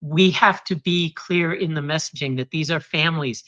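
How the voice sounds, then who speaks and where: A woman's voice: low-pitched, moderate pace, fairly bright tone. A woman co-hosting a casual talk show, speaking in an impassioned, indignant way. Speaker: co-host. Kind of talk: casual chat. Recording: slightly dull lo-fi recording.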